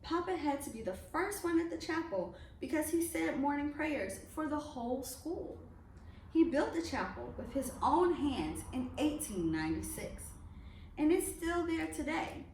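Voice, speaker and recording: A woman with a high, very lively voice reads a children's storybook aloud. Her delivery is expressive, in the manner of a storyteller. She sounds some distance from the microphone in an echoey room. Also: measured pace. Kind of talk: read-aloud of a children's picture book. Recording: echoey room, distant mic, faint noise.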